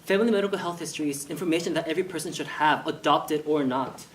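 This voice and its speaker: A man's voice: on the high side, quick pace. A man delivering scripted, informational narration on stage. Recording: echoey room, distant mic, faint noise.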